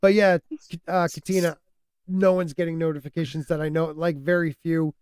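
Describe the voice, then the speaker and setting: A man hosting a casual podcast, stuffed up and losing his voice, talking in an animated, opinionated way and laughing often. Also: on the high side, a little fast, clear, resonant tone, dry recording, clean studio sound. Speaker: podcast host.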